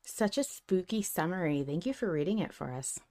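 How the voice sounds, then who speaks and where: A woman's voice: on the low side, lively intonation, a little fast, a little mellow. A woman hosting a fan podcast in casual, chatty, giggly conversation. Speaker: podcast host.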